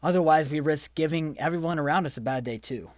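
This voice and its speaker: An actor playing a reasonable young student coworker who explains things earnestly and patiently in a comedic audio drama. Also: male voice, on the high side, quick pace, dry recording, clean studio sound, lightly acted.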